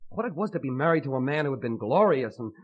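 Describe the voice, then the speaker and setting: A muffled old radio recording of a lovesick young man, bitter and grandiose. His mid-pitched voice swings widely as he speaks in halting, poetic, melodramatic phrases. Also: very animated. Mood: brooding, earnest.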